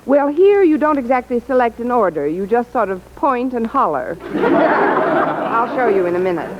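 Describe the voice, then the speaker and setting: Over a dull, noisy old radio recording with an audience behind, a female American voice rises and dips expressively. a wry, scheming schoolteacher, coy and quick with dry asides. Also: on the high side, big pitch swings, over a noisy crowd. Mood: breezy. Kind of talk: 1940s-50s radio sitcom acting.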